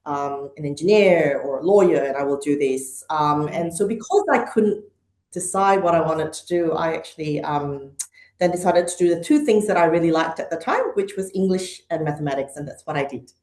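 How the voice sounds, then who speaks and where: Woman, middle-aged, podcast guest, fairly low pitch, thoughtful, many fillers, echoey room. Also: normal speed, darkish tone. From Malaysia and Hong Kong. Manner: thoughtful, frequent ums and uhs. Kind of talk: conversational interview.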